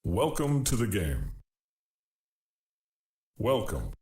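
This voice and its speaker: A man's voice: lowish voice, wildly swooping pitch, extremely slow, vocal fry, a little mellow, breathy edge, full character voice. A man voicing a dramatic video game announcer with theatrical delivery. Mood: commanding.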